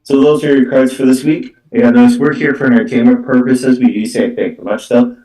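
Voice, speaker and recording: A man giving a live psychic card reading, with halting, repetitive phrasing full of verbal tics. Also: mid-range voice, steady tone, warm tone. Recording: echoey room, distant from microphone.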